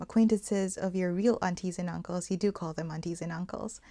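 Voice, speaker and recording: Young woman, from the Philippines, podcast co-host, relaxed chatty delivery. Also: mid-range voice. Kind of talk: casual podcast chat.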